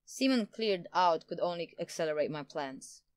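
An actress plays a haughty, power-hungry stateswoman in an audio drama. Her voice sits a little low for a woman and is recorded very dry. She speaks with smug, theatrical pomposity, relishing her own schemes. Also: lively intonation, moderate pace, clean tone, no room sound, close to an everyday voice. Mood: smug, boastful. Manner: theatrical, pompous, self-satisfied. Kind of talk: audio drama villain acting.

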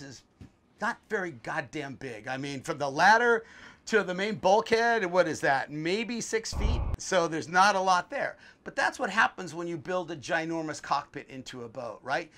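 A man's voice: a little high, a bit bright. An older man hosting a casual sailboat tour, opinionated and blunt, with joking asides, recorded on deck at a marina and then in the boat's small cabin. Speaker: boat tour host. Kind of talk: casual walkthrough commentary.

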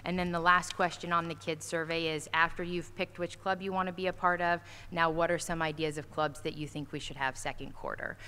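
A woman's voice: fairly low pitch, steady tone, a little fast, hardly any pauses, sharp tone, clear, resonant tone. A woman administrator answering questions at a school board meeting, casual with many fillers, at a podium mic in a large auditorium. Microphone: standing podium mic from a far camera. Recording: echoey hall, faint noise.

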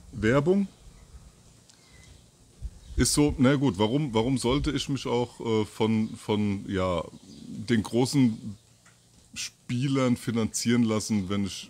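A man in his fifties giving an informal, halting monologue to camera, thinking aloud with pauses and repeats. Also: fairly low pitch, darkish tone. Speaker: video channel host. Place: outdoors on a terrace or balcony by trees. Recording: outdoor ambient noise.

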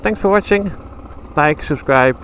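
A man's voice: a little high, expressive, a little slow, a bit bright. Dutch-accented man motovlogging over engine noise. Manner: rambling, chatty, self-correcting. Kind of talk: casual talk while riding. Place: outdoors on a motorcycle on country roads. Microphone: helmet camera mic. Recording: engine and wind noise under voice. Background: motorcycle engine and wind.

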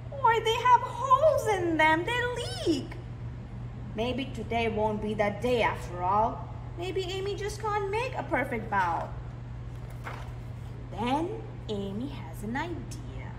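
High, animated woman reading a picture book. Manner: expressive, drawn-out emphasis on key words. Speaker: library storytime reader. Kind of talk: animated picture-book read-aloud for young children. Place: indoors in a library, seated. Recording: roomy echo, clear background noise.

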